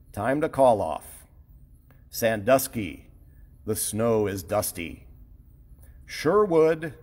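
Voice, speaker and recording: A man's voice: mid-range voice, a little mellow, husky, big-room sound. A man, a TV chief meteorologist with a Midwestern American accent, playfully reciting rhyming weather notes in a sing-song way.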